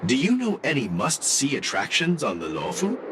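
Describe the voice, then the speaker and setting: A man voicing a friendly, eager tourist in a video game, affable and expressive. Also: a little high, normal speed, gravelly, background noise, exaggerated voice. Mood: affable, curious. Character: friendly, eager male tourist from a dream resort. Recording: music behind the voice.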